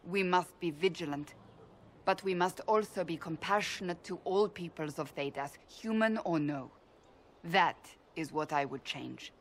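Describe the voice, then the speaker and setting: A woman playing a stern, devout warrior in a fantasy game, speaking formally and with measured, blunt earnestness. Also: fairly low pitch, a little hiss or hum. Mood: resolute. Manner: formal, measured, deliberate phrasing. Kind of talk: dramatic video game dialogue.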